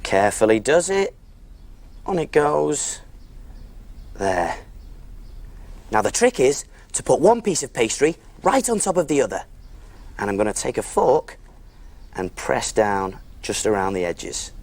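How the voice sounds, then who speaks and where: A man's voice: mid-range voice, sing-song, measured pace, gravelly, pauses often, a little mellow, exaggerated voice. A man playing a friendly big cook who presents simple step-by-step cooking on a preschool show, in an encouraging way. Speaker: children's cookery presenter.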